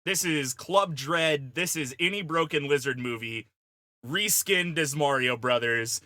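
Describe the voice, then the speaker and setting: Man, slightly high voice, sharp and forceful, casual comic banter, blunt, sweary. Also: measured pace, no room sound, studio quality. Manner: blunt, profane, emphatic. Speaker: podcast panelist pitching a film idea.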